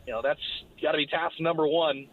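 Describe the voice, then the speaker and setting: A man, slightly hoarse, giving a brisk recap of a football game as its broadcaster. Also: a little high, fairly even intonation. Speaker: play-by-play broadcaster. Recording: phone line.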